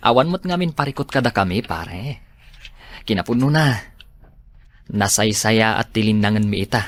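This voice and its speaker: A man's voice: lowish voice, expressive, unhurried, long pauses. A man with an Ilocano accent acting a protective, earnest friend in a radio drama, given to exasperated scolding. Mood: calm, earnest.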